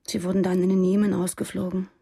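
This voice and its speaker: Earnest radical woman on the run, radio play, soft mellow voice w lots of creak. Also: lowish voice, little pitch movement, fast. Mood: earnest, defiant. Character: idealistic former militant woman on the run, earnest, unrepentant. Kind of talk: German radio drama acting.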